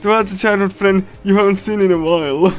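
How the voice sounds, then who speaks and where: A man with a slightly high voice talks casually on a livestream as he reads through a visual novel. He speaks haltingly, like a giggly, chatty fan, with soft game soundtrack music playing behind him. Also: fairly even intonation. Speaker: streamer reading a visual novel. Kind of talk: casual livestream chat with loose readthrough.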